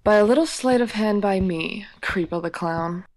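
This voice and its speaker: A woman voices a scornful high school girl in an audio drama. Her mid-pitched voice is dry, cool and biting. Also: moderate pace, a little mellow, close to an everyday voice. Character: sardonic teenage girl, cool and contemptuous.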